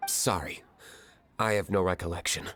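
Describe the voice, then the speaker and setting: A man's voice: deep voice, steady tone, normal speed, fairly bright tone, slight background noise. A man voicing an aloof, stern tavern owner in a video game, curt, cool and dry. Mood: cold, dismissive. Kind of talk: video game character acting.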